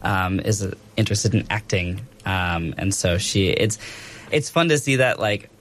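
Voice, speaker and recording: A man's voice: sits low, lively intonation, unhurried, a little hiss or hum. A young adult man co-hosting a radio show, talking casually with many fillers and restarts. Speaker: radio co-host. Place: radio studio.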